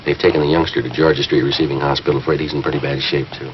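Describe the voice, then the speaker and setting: Very deep-voiced, deadpan police detective. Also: male voice, very fast, background noise. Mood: businesslike, deadpan. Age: 30s. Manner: clipped, deadpan, matter-of-fact. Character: terse, businesslike male police detective narrating his case. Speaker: detective and narrator. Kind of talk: 1950s police procedural acting. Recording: dull 1950s film soundtrack.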